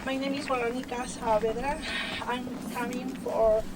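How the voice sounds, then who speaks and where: A woman from Chile with a Chilean Spanish accent, tearful and speaking in halting, effusive, broken English as a new citizen. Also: fairly high pitch, steady tone. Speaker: new citizen giving a speech. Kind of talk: short emotional public speech. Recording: distant mic, loud background noise.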